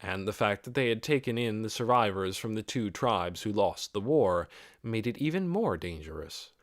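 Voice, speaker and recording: A man's voice: on the low side, animated, normal speed, no echo, studio quality. A man reading scripted fantasy fiction as an audiobook narrator.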